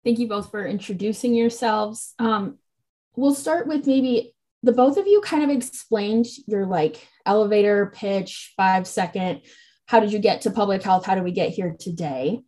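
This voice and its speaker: A woman with a mid-pitched voice hosting a public health podcast interview. She introduces her guests and asks questions casually, with a few ums and likes. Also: normal speed, warm tone. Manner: casual and friendly, with fillers. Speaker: podcast host.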